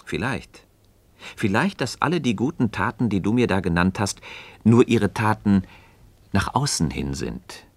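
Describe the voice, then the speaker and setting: An actor playing an enigmatic, measured man in a radio play, speaking deliberate, emphatic in philosophical dialogue. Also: lowish voice, animated, creaky, no room sound, heavily acted. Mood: grave, secretive. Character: enigmatic, measured man hinting at secrets to a friend.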